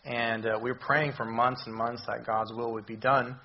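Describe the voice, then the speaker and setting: Man, hoarse voice, halting speech full of ums, church sermon, dull lo-fi sound. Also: medium pitch, little pitch movement, a little hiss or hum. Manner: halting, many ums and restarts. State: hoarse, recovering voice. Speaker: visiting missions speaker.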